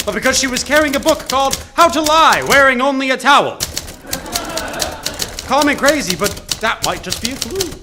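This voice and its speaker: A noir-parody private eye with a strikingly high voice that swings widely in pitch. He narrates in theatrical, wisecracking gumshoe style, as in a live radio play, with clear background noise. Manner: theatrical, deadpan quips, old-time gumshoe slang. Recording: noisy live theater stage recording.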